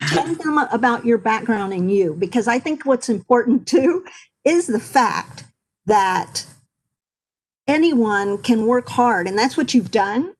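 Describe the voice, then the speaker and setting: A woman with a slightly raised pitch hosts a webinar over a video call. She prompts her guest with long, run-on questions. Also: lively intonation, takes it slowly, poor audio. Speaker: webinar host.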